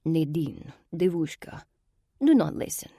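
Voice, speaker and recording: A woman's voice: low voice, sing-song, unhurried, warm tone, dry recording, clean studio sound, full character voice. A woman voicing an elegant older sorceress, speaking serenely and coaxingly with a cold edge underneath. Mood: silky menace.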